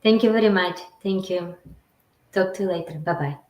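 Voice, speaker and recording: A woman from Ukraine speaking halting, non-native English with many fillers, as a guest in a casual interview. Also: lowish voice, darkish tone, roomy sound.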